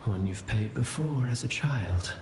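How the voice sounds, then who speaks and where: An actor playing a menacing, poetic villain in a video game, speaking deliberately and ominously. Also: male voice, mid-range voice, expressive, noisy background, close to an everyday voice. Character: menacing, poetic male villain taunting with veiled threats. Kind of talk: scripted video game acting. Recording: roomy echo, music behind.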